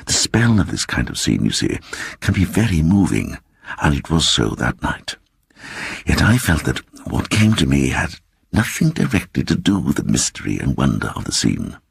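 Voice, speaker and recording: Actor as a gravelly, diffident little man. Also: extremely low pitch, normal speed, dry recording, clean studio sound, exaggerated voice. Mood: wondering. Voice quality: soft voice. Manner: hesitant, earnest, growing excited. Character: timid, diffident little man earnestly recounting his eerie adventure. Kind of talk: dramatic audiobook storytelling.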